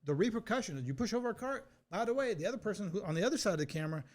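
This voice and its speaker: A man hosting a casual interview in a voice that sits somewhat high. He speaks frankly and states his opinions outright, with some casual swearing. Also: lively intonation, rapid-fire, a little mellow. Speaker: podcast host.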